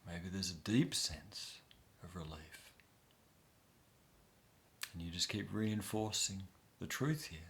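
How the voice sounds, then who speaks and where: A man guiding a soft, soothing inner-child meditation with long pauses. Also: low voice, lively intonation, slight background noise. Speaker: meditation guide.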